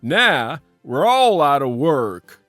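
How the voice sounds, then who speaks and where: A man's voice: on the high side, sing-song, takes it slowly, a bit bright, no room sound, soft background music, exaggerated voice. A man voicing a downcast small-town western sheriff in a storybook, plaintive and folksy. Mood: sad, worried. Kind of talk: storybook character voice.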